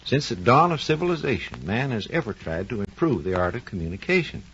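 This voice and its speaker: A man's voice: mid-range voice, sing-song, fairly quick, a bit bright, slightly rough. A man with an American accent giving a scripted 1940s educational radio talk as a research engineer, in a formal, explanatory manner. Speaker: research engineer presenting a science program. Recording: muffled, lo-fi 1940s radio recording.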